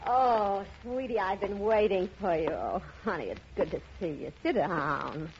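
A woman's voice: mid-range voice, lively intonation, a little slow. A woman playing a doting gangster's sweetheart in a 1950s radio drama, cooing, fussing and slangy. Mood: cooing, coaxing. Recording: muffled, noisy old radio recording.

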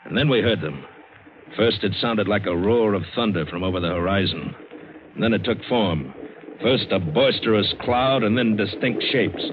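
An actor playing a hard-boiled American adventurer, narrating tersely and vividly in an old radio drama. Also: male voice, on the low side, expressive, normal speed, noisy background, lightly acted. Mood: tense storytelling. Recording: dull old radio broadcast, loud music.

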